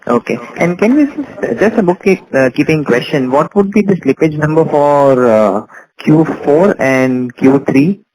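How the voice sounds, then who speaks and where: A man's voice: fairly high pitch, lively intonation, measured pace, darkish tone, low-quality recording. A man with an Indian accent calling in as an analyst, asking questions politely and hesitantly on an earnings call.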